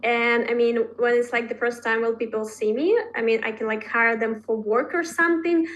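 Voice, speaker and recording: Chatty young woman, bright pitch. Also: steady tone, moderate pace. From Russia. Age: 20s. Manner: chatty, many fillers like "like" and "I mean". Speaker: marketing manager. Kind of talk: casual chat.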